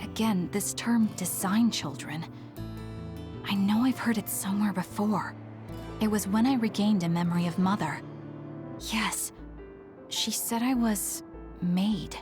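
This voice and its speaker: An actress playing a composed, blunt young soldier in an English game dub, with a measured, matter-of-fact delivery. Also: female voice, mid-range voice, music in the background, close to an everyday voice. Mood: serious, reflective.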